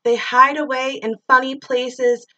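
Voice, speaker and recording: A middle-aged woman reads a children's rhyming story aloud in a fairly high voice. She takes it slowly, stretching words and playing up the sound effects as a teacher does for young pupils. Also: level tone, sharp tone, lo-fi sound. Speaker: school teacher reading to pupils. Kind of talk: expressive read-aloud of a rhyming children's picture book.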